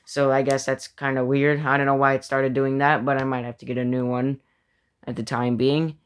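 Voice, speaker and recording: A man's voice: medium pitch, little pitch movement, moderate pace, clean tone. A man giving casual, unscripted review commentary, thinking aloud hesitantly and often repeating his phrases. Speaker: video creator ranking a personal collection.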